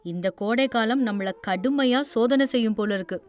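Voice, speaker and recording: A woman's voice: fairly high pitch, moderate pace, natural voice. Woman playing Sujatha, a practical village wife. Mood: earnest. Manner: earnest, persuasive, plain-spoken. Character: sensible thrifty village wife, firm and practical. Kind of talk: storybook voice acting.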